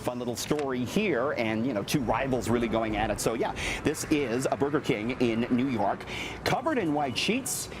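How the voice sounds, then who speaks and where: A middle-aged Canadian man giving a conversational business news report in an animated, chatty, explanatory way. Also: mid-range voice, moderate pace, noisy background.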